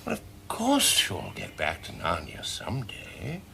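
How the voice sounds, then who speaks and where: An actor playing a kindly, amused old British professor in a radio drama, musing theatrically in a gravelly voice. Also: male voice, sits low, huge pitch swings, a little slow, noisy background, heavily acted. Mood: musing, knowing. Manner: musing, theatrical, swooping delivery.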